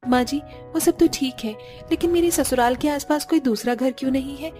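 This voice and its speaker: A woman's voice: high-pitched, brisk, warm tone, soft background music, natural voice. A woman voicing a poor, earnest young daughter-in-law in a cartoon family story. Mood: puzzled. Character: young poor daughter-in-law, anxious, sensible and plain-spoken.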